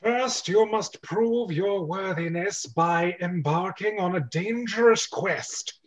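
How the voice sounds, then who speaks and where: A man voicing a solemn, grandiose wizard handing out a quest in an amateur script reading. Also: high-pitched. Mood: solemn, portentous. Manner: slow, grandiose. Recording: dull, lo-fi online recording.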